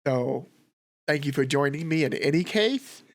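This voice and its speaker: A man with a sore throat from a cold, hosting a history reaction with relaxed, rambling commentary. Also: on the high side, normal speed. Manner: relaxed, rambling, thinks aloud with fillers. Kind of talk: casual commentary.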